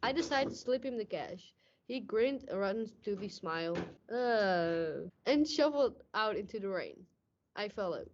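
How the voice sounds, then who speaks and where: A woman's voice: medium pitch, lively intonation, measured pace. A woman playing a weary, hard-boiled male police detective, narrating in tough, slangy radio noir style. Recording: muffled, noisy amateur stage recording.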